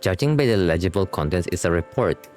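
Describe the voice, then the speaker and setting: A man's voice: deep voice, animated, a little fast, a little mellow. A man doing a casual live game reaction, chatting in slangy asides and reading on-screen text aloud over game music. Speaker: streamer reacting to a game story.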